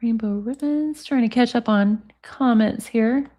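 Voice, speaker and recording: A woman's voice: fairly high pitch, measured pace, mellow. A woman in her forties hosting a livestream. She reads text messages aloud and chats with viewers in the comments. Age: 40s. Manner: chatty, talks to viewers in the comments. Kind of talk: casual livestream chat, reading texts aloud.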